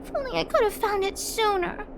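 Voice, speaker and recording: A woman's voice: extremely high pitch, expressive, a little fast, a little hiss or hum. A woman playing a small bossy but tender-hearted little girl in an English video game, speaking in a childlike way. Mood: guilty, sad. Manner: childlike, refers to self in third person.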